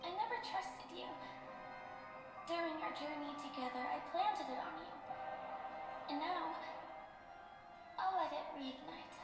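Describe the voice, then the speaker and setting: A woman's voice: high-pitched, measured pace, pauses often. A woman playing a defiant young heroine in a video game, earnest, dramatic and resolute in the face of death. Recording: echoey, distant, loud game music.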